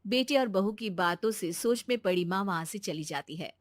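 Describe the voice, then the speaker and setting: A woman's voice: mid-range voice, animated, moderate pace, dry recording, studio quality. A woman narrating a scripted moral bedtime story as a storyteller.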